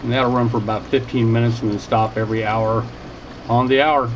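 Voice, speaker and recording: A man's voice: mid-range voice, fairly quick. Man narrating casually over noisy background hum. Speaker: home hydroponics hobbyist narrating a video tour. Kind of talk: casual unscripted walkthrough narration. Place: small basement indoor grow room. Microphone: handheld camera, narrator behind it. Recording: steady loud hum and water noise.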